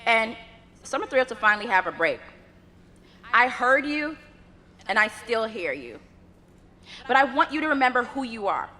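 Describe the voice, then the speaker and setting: A young woman, a native Yoruba speaker, delivers a graduation address with earnest, measured oratory and rhythmic lists. Her voice is bright and edged, sitting slightly high for a woman. It rings out through the long reverb of a large ceremony space. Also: very animated. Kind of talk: commencement address.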